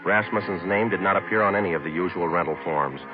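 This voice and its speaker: Fast, low, deadpan police sergeant played by a man. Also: natural voice. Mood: flat, businesslike. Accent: American. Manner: deadpan, clipped, matter-of-fact. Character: terse, businesslike male police sergeant narrating his case. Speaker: narrator and lead detective. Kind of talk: 1950s radio police drama narration. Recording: muffled old radio recording, music.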